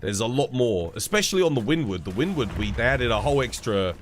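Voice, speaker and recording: A man's voice: medium pitch, animated, fast talker, a little hiss or hum. A man, stuffed up with a cold, casually chatting and answering viewers while streaming a game. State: congested, stuffed-up nose. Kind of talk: casual live-stream chat.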